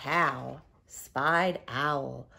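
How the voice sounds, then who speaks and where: A middle-aged woman, a preschool teacher, reading a picture book aloud to young children with expressive, deliberate storytelling. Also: very deep voice, vocal fry, very noisy. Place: living room at home.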